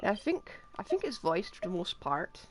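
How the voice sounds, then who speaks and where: Animated woman streaming a game. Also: medium pitch, moderate pace, noisy background. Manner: chatty, dry quips. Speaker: livestreamer. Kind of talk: casual game stream commentary. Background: game music.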